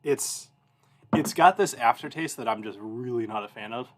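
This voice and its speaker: A man's voice: medium pitch, moderate pace. Casual, rambling man in his thirties. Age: 30s. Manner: informal, rambling, self-interrupting. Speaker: whiskey review show host. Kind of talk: casual chat and anecdotes to a livestream audience.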